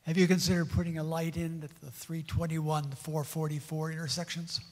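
A man's voice: fairly high pitch, level tone, normal speed, in a large hall. A man, a resident asking a short question at a community meeting, and clarifying it tersely.

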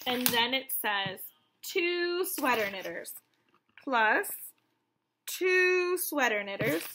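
A woman in her thirties reading a picture book aloud to young children as a counting lesson, in an animated, wondering manner full of questions. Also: high-pitched, takes it slowly, a little hiss or hum, rough recording.

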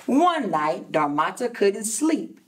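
A woman reads a children's story aloud in a classroom, a little distant from the microphone. Her pitch moves in animated swings, and she takes her time with each phrase, like a preschool teacher speaking to young listeners. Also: slow pace, slight background noise. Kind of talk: expressive children's read-aloud with asides to listeners. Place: indoor classroom.

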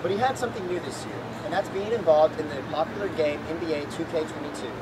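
A man's voice: on the high side, fast talker. A young American man delivering scripted news-style narration as a student reporter. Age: teenager or young adult. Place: outdoors outside an arena. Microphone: handheld microphone. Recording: loud outdoor urban noise.

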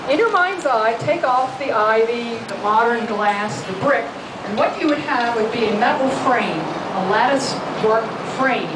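A fairly high-voiced woman guides a river boat tour, explaining sights patiently with many "uh" pauses. Her voice comes through a public address speaker, recorded from among the passengers. Heavy engine and outdoor noise sits over everything. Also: expressive. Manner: informative, explanatory, with frequent "uh" pauses. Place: open-air tour boat on a city river. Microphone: public address speaker, recorded from the audience. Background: boat engine and river ambience.

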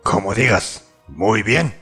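A man voicing a proud, menacing masked swordsman from a video game, with a gravelly, raspy voice, solemn clipped lines and a Latin American Spanish accent. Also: fairly low pitch, expressive, slow, fairly bright tone, soft background music, full character voice. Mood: solemn, confident. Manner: solemn, clipped battle declarations. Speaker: fan dub voice actor. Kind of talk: fan dub of video game hero lines.

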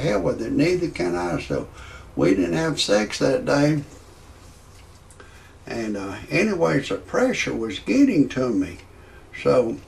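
A man, telling a rambling parody comedy monologue in a halting way, full of "uh" fillers and restarts. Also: a little high, a little mellow, a little hiss or hum. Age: 60s. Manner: slow, halting delivery with many "uh" fillers and restarts; gravelly voice. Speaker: comedy storyteller.

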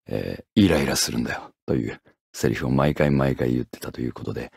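A man's voice: very deep voice, brisk, gravelly, a little mellow, slightly rough, no room sound, clean studio sound. A man, a voice actor, giving a polite, formal and somewhat hesitant recorded comment to fans.

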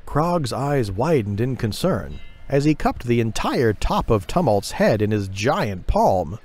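A man's voice: mid-range voice, big pitch swings, measured pace, darkish tone. A man narrating a scripted fantasy audio drama in a dramatic storytelling style. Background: music.

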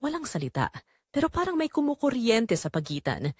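Woman, intimate romantic story narration, animated intonation, close dry sound. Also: a little high, fairly quick, slightly rough, no room sound. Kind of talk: first-person scripted narration of a romantic drama.

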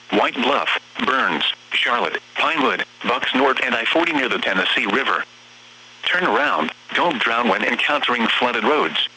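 A synthetic text-to-speech man's voice reading formal, measured emergency weather bulletins as an automated announcer over the radio. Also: medium pitch, bright, forceful tone, a little hiss or hum, low-quality recording. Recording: buzzy, humming weather radio broadcast.

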